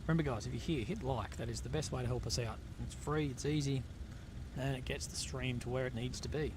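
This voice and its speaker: Man, live-stream host, mid-pitched voice, blunt and casual, noisy outdoor feed with traffic behind. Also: fairly quick. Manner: blunt and swearing, many fillers and restarts. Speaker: livestream host reading chat questions. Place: outdoors in a conflict zone.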